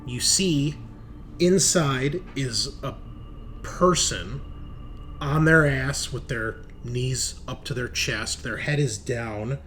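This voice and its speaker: A man running a tabletop roleplaying game as game master, narrating in a halting, descriptive way over an online call. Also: medium pitch, a bit bright, soft background music. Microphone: online group call. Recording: clear background noise.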